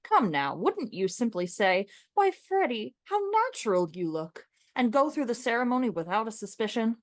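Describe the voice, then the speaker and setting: An opinionated young man in a comic radio play, sardonic and fond of lecturing. The voice is fairly high and swoops emphatically, recorded close and dry. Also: big pitch swings, normal speed, no room sound. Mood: scornful lecturing.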